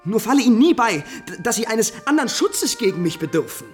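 An actor playing an ardent prince who woos in florid, pleading declamation, in a mid-century radio drama. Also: male voice, high voice, sing-song, a little fast, soft background music. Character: ardent, courtly, commanding prince wooing a young lady.

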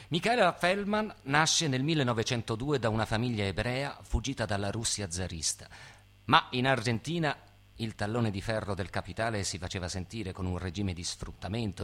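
A man narrating a dramatic literary stage piece with measured, emphatic phrasing. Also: fairly low pitch, animated, fairly bright tone. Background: guitar music.

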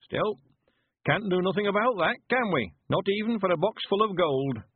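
A man's voice: high voice, lively intonation, moderate pace, slightly rough, phone-quality sound, pitched above the performer's own voice. A man voicing a cheerful, sprightly rustic in his nineties with a rural West Country accent, speaking emphatic, folksy dialect for an audiobook. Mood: jovial. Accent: rural English West Country. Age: 90s. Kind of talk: audiobook character voice.

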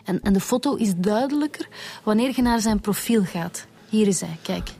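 An actress playing a cheeky, resourceful police detective with a Flemish accent in a radio drama, colloquial and teasing. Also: female voice, medium pitch, expressive, normal speed, dark tone, soft background music. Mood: explaining.

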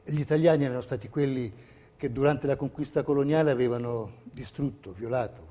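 An actor playing a narrator who recounts history and memories in scripted documentary theatre. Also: male voice, mid-range voice, brisk, dark tone, close to an everyday voice. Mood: earnest. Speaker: stage actor.

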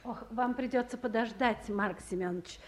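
An actress plays a busy official with a high feminine voice. She is used to giving orders, yet has a motherly streak, acted in the manner of 1950s radio theatre. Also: normal speed, background noise, natural voice. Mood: brisk, polite. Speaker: official in charge. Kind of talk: 1950s Russian radio play acting.

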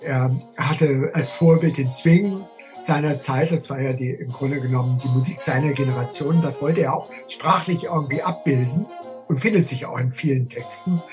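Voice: medium pitch, moderate pace, darkish tone, faint music behind. An expert interviewee on literature, talking in an explanatory, spontaneous way.

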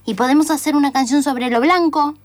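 A bored little girl with a high voice, played by a woman. She is blunt and dismissive, with a Rioplatense Argentine accent, in a radio comedy. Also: brisk, no echo, close to an everyday voice. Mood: curious. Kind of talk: comedic radio play acting.

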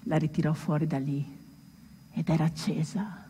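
In a stage monologue, a woman plays a ship's musician retelling his friend's legend, emphatic, with long suspenseful pauses and sudden outbursts. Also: deep voice, little pitch movement, dark tone, lightly acted. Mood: hushed suspense. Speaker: stage actor. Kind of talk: theatrical monologue, dramatic storytelling. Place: theatre hall, live stage performance. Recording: reverberant hall recording with clear background noise.

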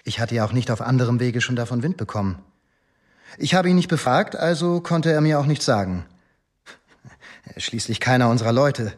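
An actor playing a male police inspector in a radio drama, deferential yet self-assured, speaking formally and in a measured, self-justifying way. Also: medium pitch, animated, natural voice. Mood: defensive. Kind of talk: German radio drama dialogue.

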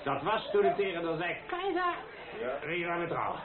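An older, folksy father of the bride in an old radio drama. He speaks in a rather high voice, hesitant and self-deprecating, as he addresses guests. The sound is thin and narrow, with a crowd around him. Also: huge pitch swings, normal speed. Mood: jovial. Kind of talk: radio play acting, rambling wedding speech. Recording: tinny band-limited old radio play, echoey crowded hall.

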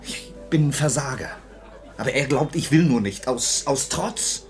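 An actor playing an agitated grown son, earnest and urgent, in a 1950s German radio drama. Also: male voice, medium pitch, a little slow, faint music behind, close to an everyday voice. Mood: desperate. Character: disillusioned grown son, agitated, desperate for honesty.

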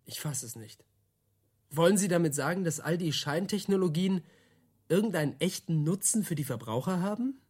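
A man's voice: a little high, animated, normal speed, darkish tone, slightly rough. An actor playing a curious male youth-radio interviewer, talking colloquially and a little hesitantly in a naturalistic radio drama. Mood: baffled, unsure.

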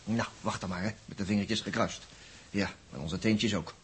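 An actor with a Netherlands Dutch accent playing a chatty, nervy, excitable astronaut in a 1960s radio drama. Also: male voice, lowish voice, moderate pace, lightly acted. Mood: impatient, nervous. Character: jittery, talkative male astronaut on a test crew. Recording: noisy vintage 1960s radio recording.

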